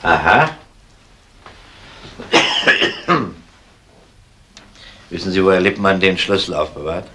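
Low-voiced, composed police detective. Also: male voice, steady tone, fast, sharp tone, slight background noise. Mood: probing. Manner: methodical, polite questioning. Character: male police detective, composed, probing, courteous. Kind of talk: German crime radio play acting.

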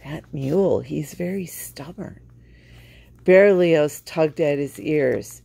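Female storytime reader, low and gravelly, for children's picture books. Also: slow pace, mellow. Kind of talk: children's picture-book read-aloud.

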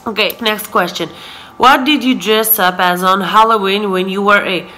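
A woman's voice: mid-range voice, moderate pace, sharp tone, slight background noise, rough recording. A woman in her twenties whose first language is Hebrew, chatting casually through a question-and-answer vlog, often laughing and saying "so yeah.". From Israel. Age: 20s. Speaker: vlogger. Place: living room, sofa, indoors. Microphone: camera in front at close range.

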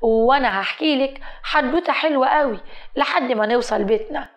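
A woman's voice: high voice, fairly quick, close to an everyday voice. A woman voicing a leering, pushy young male thug in an audio story, with an Egyptian accent. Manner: taunting, coaxing, bullying.

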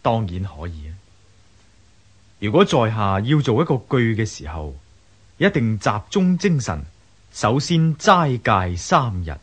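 A man acting a humble, earnest woodcarver of ancient China in a radio drama, husky, measured and deferential, with a Hong Kong Cantonese accent. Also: lowish voice, big pitch swings, heavily acted.

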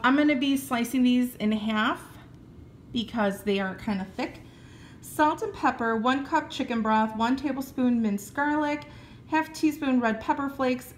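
Woman cooking at home and talking through it, kinda high voice, chatty and easygoing, faint hum behind. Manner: friendly, explains steps plainly, with fillers. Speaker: cooking vlogger. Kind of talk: casual cook-along narration. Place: home kitchen.

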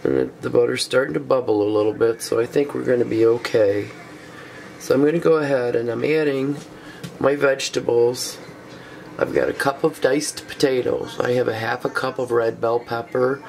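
A man with a cold, hoarse and stuffy, walking through a recipe step by step in a relaxed way with frequent ums. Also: mid-range voice, moderate pace, slight background noise, low-quality recording. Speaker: cooking show host. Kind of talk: casual cooking demonstration. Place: small home kitchen.

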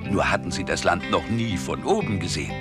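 A man's voice: low-pitched, animated, fairly quick, over loud music. A man narrating a scripted children's story as the storyteller.

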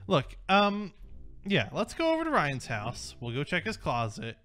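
A woman with a low voice hosts a game roleplay, teasing her friends in an upbeat way and laughing often. Her pitch moves a lot from line to line, and faint music plays behind her. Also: wildly swooping pitch, a little slow, a bit bright. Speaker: channel host. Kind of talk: Minecraft roleplay banter.

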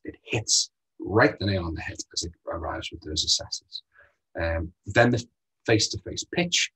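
A man in his thirties presenting a funding webinar over slides from a small room on a video call, direct and brisk, firing off rhetorical questions with frequent ums. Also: low-pitched, a bit bright, husky, poor audio.

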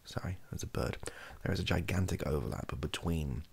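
A young man with a husky, gravelly voice, rambling softly and wryly to camera, often hesitating and correcting himself. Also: sits low, brisk. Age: young adult. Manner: hesitant, self-correcting, wry. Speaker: ASMR comedy video creator. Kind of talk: improvised soft-spoken rambling to camera. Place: small home bedroom office.

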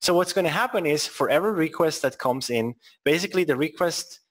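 A man's voice: on the high side, animated, a little fast, fairly bright tone. Man, swiss accent, tech webinar presenter, playful asides, stumbles and repeats words. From Switzerland. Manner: joking asides, frequent restarts and repeated words.

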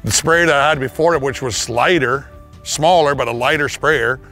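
A man's voice: mid-range voice, moderate pace, bright, forceful tone, faint music behind. A man, a farmer talking casually in a testimonial interview, recorded outdoors on a farm yard. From Canada. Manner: plain-spoken, casual, with restarts.

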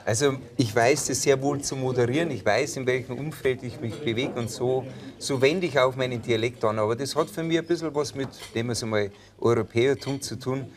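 Forties man, Bavarian accent, measured talk-show guest, mid pitch. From Upper Bavaria. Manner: thoughtful, measured. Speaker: guest, mountain climber. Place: tavern-style TV studio set.

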